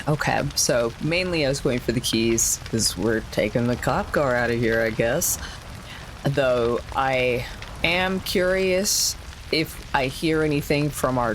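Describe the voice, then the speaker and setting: A woman's voice: extremely low pitch, measured pace, a little hiss or hum, poor audio. A woman playing a tabletop game, casually thinking aloud as she describes what her character does.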